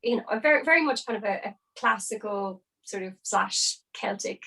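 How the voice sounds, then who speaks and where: A woman's voice: mid-range voice, expressive, moderate pace, creaky, sharp tone. A young woman, an opera singer, chatting as a podcast guest and explaining things with lots of hedging fillers. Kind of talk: casual interview chat.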